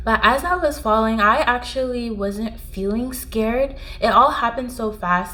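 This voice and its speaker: A woman's voice: medium pitch, fairly bright tone. Woman telling a personal story. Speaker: vlogger. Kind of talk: storytime narration to camera.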